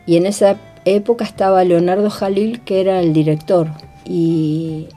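Casting: older Argentine female interviewee, dark relaxed voice on the lower side, thoughtful, hesitant recollection. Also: normal speed, soft background music. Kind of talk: reminiscing interview talk.

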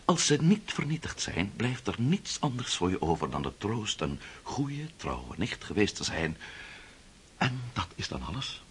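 An actor playing a scheming, impatient older prince in a radio drama, coaxing and condescending with a rough, hoarse voice. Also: male voice, lowish voice, very animated, measured pace, a little hiss or hum. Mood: impatient, insistent.

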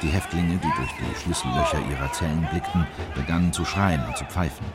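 A man acting as an official who recounts a secret operation in measured, factual radio play narration. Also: a bass voice, over a noisy crowd. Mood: measured, grave. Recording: music behind the voice.

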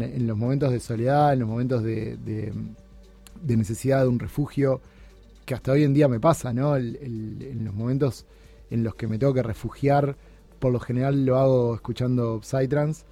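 Un hombre uruguayo de treinta y tantos, invitado en una radio, habla con muchas pausas, titubeos y repeticiones. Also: male voice, medium pitch, creaky, mellow. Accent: Uruguayan Spanish. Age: 30s. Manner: halting, many restarts and fillers. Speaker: guest. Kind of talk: casual interview chat. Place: radio studio.

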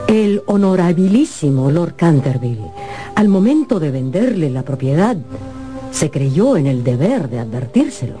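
A woman's voice: low-pitched, mellow. A woman narrating a radio drama as scripted storytelling, in a theatrical, emphatic way. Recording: music behind the voice. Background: music.

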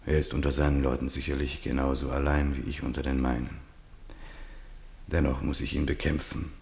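An old, proud French general, played by a male narrator speaks in a very deep voice in a roomy, echoing space. He is lofty and solitary, declaring his thoughts on power like a ruler in a radio drama. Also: little pitch movement, fairly quick, gravelly, darkish tone. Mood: brooding, proud.

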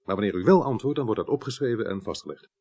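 An actor playing a formal, unhurried police inspector, plain-spoken and procedural, in a Dutch radio drama. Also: male voice, fairly low pitch, lively intonation, a little mellow, slightly rough. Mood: formal, methodical.

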